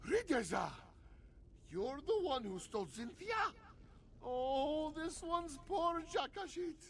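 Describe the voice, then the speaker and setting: A man's voice: very high-pitched, sing-song, slow, full character voice. An actor playing a foolish, fretful old cat-folk father in a fantasy game, frantic and doting.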